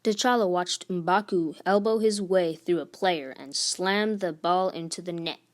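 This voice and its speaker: A woman's voice: on the low side, measured pace. A woman hosting a read-aloud, narrating a storybook expressively.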